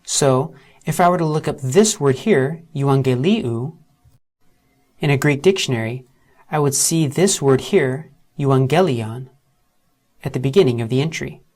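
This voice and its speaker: Steady man narrating a software tutorial. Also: mid-range voice. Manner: steady, methodical walkthrough, slows to spell out terms. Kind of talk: scripted screen-recorded tutorial narration.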